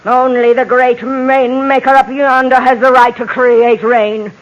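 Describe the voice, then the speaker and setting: Shrill-pitched, stern temperance matron. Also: female voice, little pitch movement, unhurried, muffled recording. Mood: righteous, scolding. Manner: righteous, haughty, commanding. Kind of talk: old-time radio drama acting. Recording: vintage 1950s radio recording.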